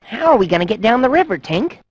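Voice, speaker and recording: A woman playing a cocky, adventurous boy hero in a cartoon game, with a husky, bold, breezy delivery. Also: fairly high pitch, sing-song, fast talker, noisy background. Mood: brisk, upbeat. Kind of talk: cartoon video game acting.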